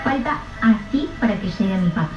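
A woman voicing an earnest little boy of two, speaking slowly and simply in a roomy, dull recording. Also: mid-range voice, lively intonation, a little mellow, faint music behind, lightly acted. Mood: solemn. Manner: simple toddler phrasing, slow and careful. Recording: roomy, dull, distant mic.

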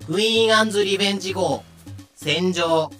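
A man's voice: sits high, moderate pace, a bit bright, faint music behind. A man playing the narrator of a pirate tale, announcing scenes in scripted narration.

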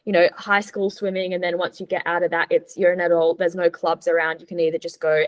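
A woman's voice: on the low side, flat delivery, fast. Young woman, informal and upbeat podcast guest. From born in Switzerland, moved to Australia as a child. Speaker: podcast guest, sports nutritionist. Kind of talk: casual conversational interview answers.

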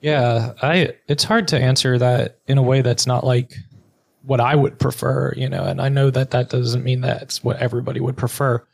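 A middle-aged man with a gravelly edge of vocal fry runs through his speech. He talks candidly and bluntly in a relaxed interview, cutting to the chase. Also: mid-range voice, normal speed. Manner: blunt and frank, with occasional swearing. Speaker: podcast guest, therapist and entrepreneur.

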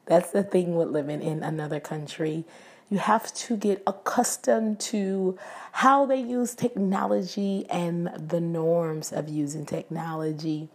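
A woman with a raspy voice hosting a casual devotional podcast, talking earnestly and prayerfully to her listeners. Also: on the low side, steady tone, unhurried. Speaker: podcast host. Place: living abroad, indoors.

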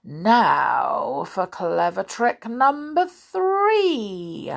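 A hungry storybook crocodile, greedy and scheming, gloating to himself with a loud slow relish, as a woman puts him on. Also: medium pitch, sing-song, vocal fry, heavily acted. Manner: gloating, lip-smacking.

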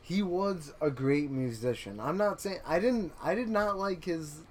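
A young man chats on a casual podcast, circling back and restarting as he works through his thoughts. His voice sits somewhat high for a man. There is a faint hiss in the recording. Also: lively intonation, measured pace, darkish tone. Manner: rambling, earnest, with restarts and laughs. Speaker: podcast co-host. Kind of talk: casual chat.

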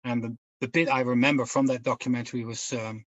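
A man's voice: mid-range voice, fairly even intonation, fast talker, no room sound, dull audio. A man from the Netherlands, a guest on a podcast interview, telling a long story in a rambling way with many fillers and restarts. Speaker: guest researcher.

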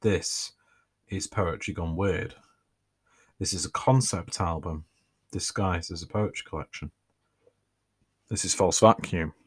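A man reads his own writing for his podcast. His voice sits very low, and his delivery is relaxed, with a dry, self-mocking humour. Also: a little slow, pauses often, slightly rough. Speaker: podcast host and author.

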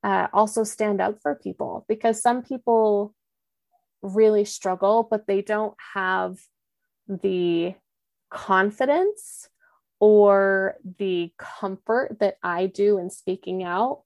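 A woman's voice: medium pitch, slow pace, vocal fry, a little mellow, no room sound. A young woman speaking candidly in an interview, earnest and reflective, with lots of "like" and restarts. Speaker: podcast host and guest.

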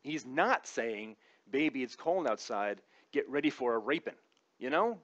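Guy doing a wry casual comedy rant, average pitch, swears a bit, kinda dull lo-fi audio. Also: lively intonation, a little slow, fairly bright tone. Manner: informal, wry, sometimes profane. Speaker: comedic commentator. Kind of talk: conversational opinion monologue with comic asides.

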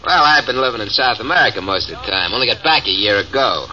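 A man's voice: medium pitch, animated, fast talker, pushed and bright, lo-fi sound. A man acting a boastful, scheming small-time gangster, brash and smug, in 1940s radio drama style. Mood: swaggering.

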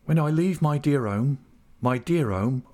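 A man's voice: medium pitch, lively intonation, unhurried, a little mellow, voice pushed up, close to an everyday voice. Man reading as a remorseful, pleading young woman. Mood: sorrowful pleading. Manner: pleading, sorrowful, lingering. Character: remorseful young woman's tearful farewell letter, read aloud.

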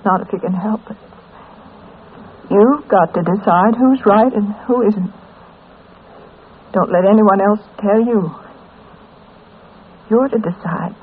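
A woman playing a dying, weary, tender mother in a 1940s radio drama, with weak, halting speech. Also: medium pitch, husky. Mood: tender, resigned. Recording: muffled, hissy old radio recording.

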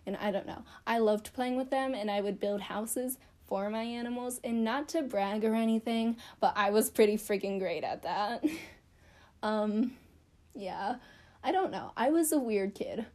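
A woman's voice: on the high side, a little slow, warm tone. A young woman chatting casually to camera about her childhood, rambling and self-deprecating with lots of fillers. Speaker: vlogger.

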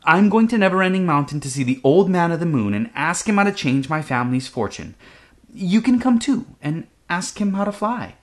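A man voicing a curious, kind young girl who speaks softly and earnestly. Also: sits high, sing-song, a little fast, pitched above the performer's own voice. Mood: reassuring.

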